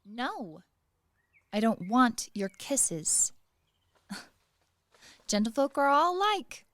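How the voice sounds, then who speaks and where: A woman's voice: a little high, very animated, very slow, a bit bright, no echo, heavily acted. A woman acting in a radio play as a proud, sly young maidservant, pert and needling under a show of deference. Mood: pert, teasing.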